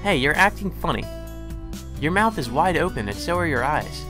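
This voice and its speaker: A man's voice: very animated, moderate pace, over music. A man doing a bubbly teasing young vampire woman. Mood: teasing, playful. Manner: teasing, bubbly, raised pitch.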